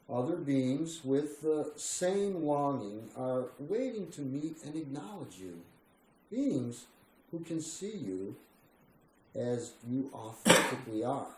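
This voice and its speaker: A man giving a measured, solemn reading of a spiritual text, with deliberate pauses between short phrases. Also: medium pitch. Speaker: reader. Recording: roomy echo with faint background noise.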